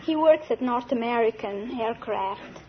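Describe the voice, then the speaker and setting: A woman from Egypt, a quiz show contestant, telling a story in a giggly, unhurried way. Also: fairly high pitch. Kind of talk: casual storytelling to a host. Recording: muffled 1950s broadcast with hiss.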